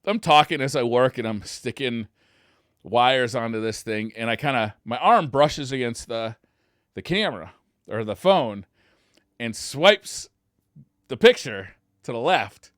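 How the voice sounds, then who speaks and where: A man's voice: mid-range voice, animated, measured pace, a bit bright, dry recording, studio quality. A middle-aged man co-hosting a podcast, telling stories in a casual, self-deprecating and readily amused way. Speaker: podcast co-host. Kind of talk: casual chat and storytelling.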